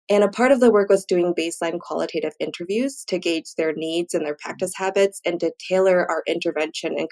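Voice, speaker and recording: A woman with a fairly low voice and plenty of vocal fry speaks as an interview guest. She explains her points conversationally and informally, as a professional would on a podcast. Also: darkish tone. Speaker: guest, physician and researcher.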